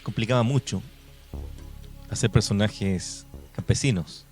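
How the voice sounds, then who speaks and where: A man's voice: lowish voice, a little slow. A man with a Chilean accent in casual conversation as a radio co-host. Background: soft music.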